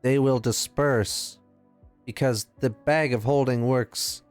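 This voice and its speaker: A man's voice: medium pitch, steady tone, slow pace, clear, resonant tone, faint music behind. A man playing a tabletop roleplaying game, talking casually and thinking aloud with hesitant questions.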